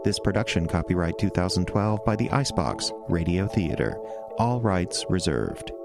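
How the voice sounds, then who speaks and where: A man reading scripted radio drama credits as an announcer, in a measured, formal way. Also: deep voice, animated, creaky. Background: music.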